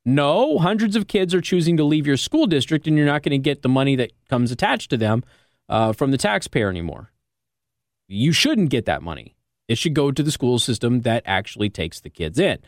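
A man's voice: mid-range voice, sing-song, brisk, no echo, studio quality. An American man hosting talk radio, giving opinionated, emphatic commentary in a conversational, argumentative way, often checking in with the listener. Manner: emphatic, argumentative, rhetorical check-ins like "okay?". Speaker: radio talk show host. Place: radio studio.

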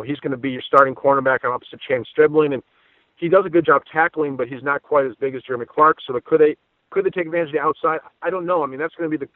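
A man's voice: medium pitch, no room sound. A man giving conversational sports analysis as a guest, talkative and repeating words as his thoughts form. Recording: tinny phone-line audio.

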